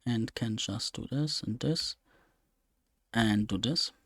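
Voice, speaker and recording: Slow, gravelly young man. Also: lowish voice, a bit bright, dry recording, clean studio sound. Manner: rambling, thinking aloud with many fillers. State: slightly strained voice. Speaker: let's play gamer. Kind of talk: casual gameplay commentary.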